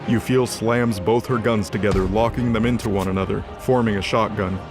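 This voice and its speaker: A man's voice: on the low side, fairly even intonation, fairly quick. A man narrating a scripted fantasy audio drama in a dramatic storytelling style. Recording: loud music under the voice.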